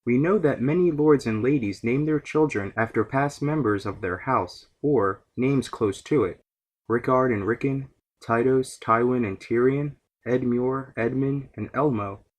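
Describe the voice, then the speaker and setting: A man's voice: medium pitch, a little slow. A man hosting a podcast, giving scripted, explanatory narration about fantasy lore. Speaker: podcast host.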